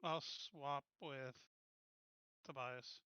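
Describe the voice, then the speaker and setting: A man's voice: mid-range voice, steady tone, drawn-out words, bright, forceful tone, clean tone, no room sound, dull audio. A man talking casually as a player in a tabletop roleplaying game. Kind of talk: casual chat.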